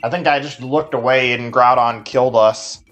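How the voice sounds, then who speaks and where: A man's voice: medium pitch, steady tone, normal speed, a bit bright, faint music behind. A man from Texas with a Texan accent, chatting loosely to his live-stream viewers as he plays, with lots of likes and restarts. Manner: rambling, filler-heavy, answers chat as he plays. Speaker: game streamer. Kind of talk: casual live-stream chat while playing.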